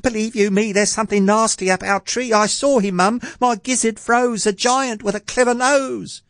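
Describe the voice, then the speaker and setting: Cheeky fairy-tale lad: squeaky high pitch, eager, very animated. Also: male voice, measured pace, dry recording, clean studio sound, heavily acted. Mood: frightened. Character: excitable cheeky little boy from a fairy tale.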